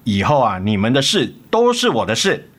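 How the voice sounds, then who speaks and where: A man acting a remorseful, devoted middle-aged father in a radio drama, gentle, tender and coaxing. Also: mid-range voice, wildly swooping pitch, moderate pace. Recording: music under the voice.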